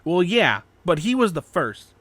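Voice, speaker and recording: Male reader as young male hero: earnest, urgent, raised pitch. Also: big pitch swings, unhurried, fairly bright tone, no room sound, heavily acted. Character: earnest, determined young male demon slayer.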